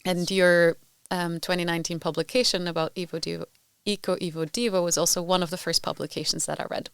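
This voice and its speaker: A woman's voice: fairly low pitch, level tone, fast talker, clear, resonant tone, no room sound. A woman interviewing a guest in a casual, chatty way, reminiscing and rambling a little. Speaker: interviewer, former PhD student.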